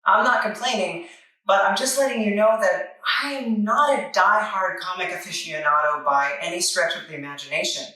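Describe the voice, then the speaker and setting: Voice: mid-range voice, moderate pace, pushed and bright. A playful, tongue-in-cheek host giving a casual review with asides. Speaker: host of a comic book review show. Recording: echoey, distant microphone, music underneath. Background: music.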